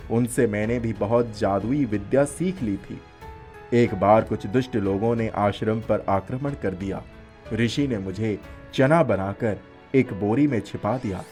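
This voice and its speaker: A man's voice: lowish voice, darkish tone, natural voice. A man voicing a helpful magical talking pakora in a children's story, giving instructions in a commanding way. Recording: music playing behind the voice.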